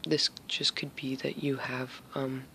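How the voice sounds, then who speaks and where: A man talks viewers through a tarot spread in a flat, gravelly voice with a sharp, tense edge. He hesitates often, fills gaps with ums, and keeps slipping into a soft whisper. Faint noise sits under the voice. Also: mid-range voice, unhurried. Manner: hesitant, many fillers, often drops to a whisper. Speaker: tarot reader. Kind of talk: casual tarot reading for viewers.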